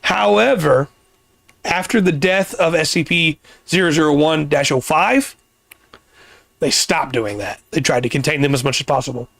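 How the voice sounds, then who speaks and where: A man hosting a casual podcast, explaining things at length with pauses and restarts, his voice getting worn. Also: fairly high pitch, vocal fry, fairly bright tone, no echo. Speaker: podcast host.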